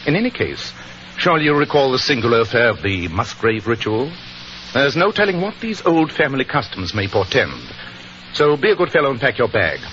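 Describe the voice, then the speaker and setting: An actor playing a courteous, analytical English detective with a British accent, precise and formal, in 1940s radio style. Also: male voice, medium pitch, sing-song, fairly quick, a bit bright. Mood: keen. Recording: dull, hissy 1940s radio recording.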